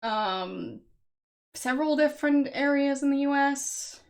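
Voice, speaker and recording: Young Austrian woman streamer, unhurried, a bit high, chatty, laughs often. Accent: Austrian German. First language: Austrian German. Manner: chatty, laughs often, answers chat guesses. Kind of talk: casual chat with stream viewers.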